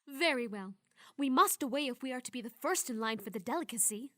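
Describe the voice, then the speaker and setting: Woman playing a high, regal night princess. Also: expressive, a little fast, fairly bright tone. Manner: regal, formal.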